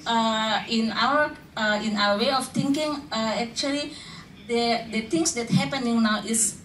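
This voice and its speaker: A woman from Indonesia speaks as a panel guest, halting and restarting often while she gropes for the right words. Her voice is picked up from a distance in a roomy conference hall, with a faint hiss beneath it. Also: on the high side, a bit bright. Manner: hesitant, many fillers and restarts, searching for words. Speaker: panel guest, community activist. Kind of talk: unscripted panel answer.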